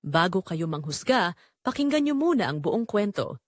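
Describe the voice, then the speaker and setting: A woman's voice: on the low side, sing-song, moderate pace, no room sound, clean studio sound. A woman playing a betrayed married daughter, narrating her painful family secret in an emotive, confessional first-person style for an audio drama. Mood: pained, confessional.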